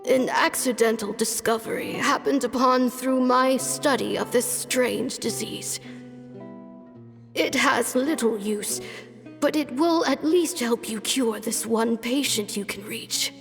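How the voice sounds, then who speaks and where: A woman voicing an ailing old female doctor in a video game, weary and stern, sighing and speaking slowly and gravely. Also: a little high, long reverb tail. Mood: resolute. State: sick and worn out. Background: game music.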